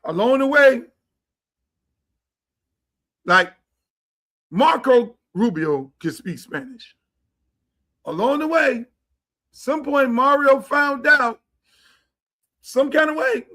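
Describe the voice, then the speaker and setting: Blunt, profane high-voiced man host. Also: slow, long pauses, pushed and bright. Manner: profane and blunt, slangy, jumps between impressions of people. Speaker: show host. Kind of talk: casual livestream rant. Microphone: streaming setup.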